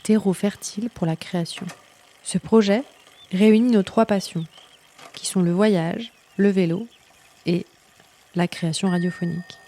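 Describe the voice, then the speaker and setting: A woman reads a scripted project presentation in a warm, mellow voice. Her delivery is clear, with small hesitations between phrases. She speaks as co-host of a bicycle radio project. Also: mid-range voice, steady tone, a little slow, pauses often.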